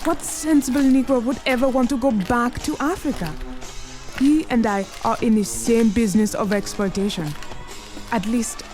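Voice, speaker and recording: A woman's voice: on the high side, moderate pace, a little mellow, soft background music, natural voice. An actress playing an imperious, cunning gambling queen of 1920s Harlem in a period audio drama, proud and commanding. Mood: proud, scornful. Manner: commanding, haughty.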